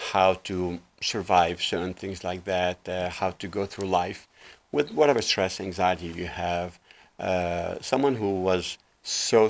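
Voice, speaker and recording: Deep gravelly man, earnest storyteller. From Lebanon. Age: 60s. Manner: earnest storytelling with many fillers. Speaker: guest life coach. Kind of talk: casual interview talk. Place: small TV studio set, indoors.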